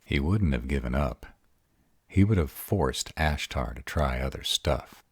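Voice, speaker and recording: A man's voice: extremely low pitch, expressive, a little slow, husky, dry recording, clean studio sound, full character voice. A man acting a sarcastic, baffled vampire in an audio roleplay drama, wary and exasperated, with a casual, sweary manner. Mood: worried, probing.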